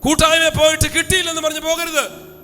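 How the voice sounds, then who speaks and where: A man preaching a fiery sermon, urging the congregation again and again to shout hallelujah. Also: very high-pitched, monotone, fast. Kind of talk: fiery sermon with call-and-response. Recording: long hall reverb with faint music.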